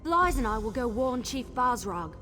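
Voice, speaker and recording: A spirited, talkative adventurer in a fantasy video game. Her voice is fairly high and swings expressively up and down. A long reverb surrounds it. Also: sing-song, moderate pace, sharp tone. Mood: wry, determined. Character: spirited, chatty female adventurer ally. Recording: long reverb, music underneath.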